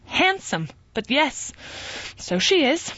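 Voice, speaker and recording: Nervous fragile young woman, quavering pleas, higher than the narration; voiced by a female reader. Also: very animated, slow pace, bright, forceful tone, no room sound, muffled recording, heavily acted. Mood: anxious. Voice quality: quavering, pleading. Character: anxious, fragile young woman, easily upset. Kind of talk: audiobook character reading.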